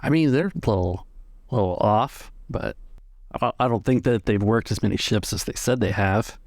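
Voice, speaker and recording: Casting: man as seasoned, folksy deckhand for fantasy game, lowish pitch, chatty and laid-back. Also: moderate pace, a little mellow, no room sound, clean studio sound, lightly acted. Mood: gossipy, candid. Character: Patty, easygoing veteran male sailor on a trading ship.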